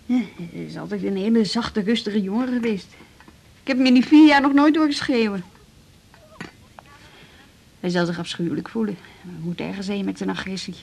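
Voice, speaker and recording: A woman's voice: mid-range voice, lively intonation, moderate pace, gravelly, long pauses, a little mellow. An actress playing a kindly, plain-spoken woman on a hospital staff in a radio drama, matter-of-fact and sympathetic. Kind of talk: naturalistic Dutch radio drama dialogue.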